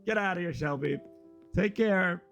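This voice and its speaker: A young man with a loud, slightly hoarse voice, rambling casually to his viewers as a live streamer while he plays a game. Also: high-pitched, normal speed. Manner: loud, rambling, talks straight to chat. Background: faint guitar music.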